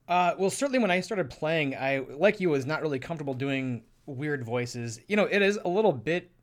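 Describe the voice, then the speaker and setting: A man co-hosting a podcast, talking casually in a halting way with many hesitations. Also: on the high side, lively intonation. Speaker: podcast co-host.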